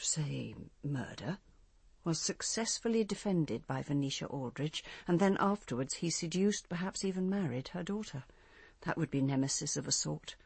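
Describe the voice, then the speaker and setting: An older grandmother in a radio drama, husky and creaky, speaking close and dry. She is measured and confessional, with grief hardened into cold vengeance. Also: sits low, a little mellow, no room sound, full character voice. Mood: grieving, bitter. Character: bitter grieving grandmother, coldly set on revenge.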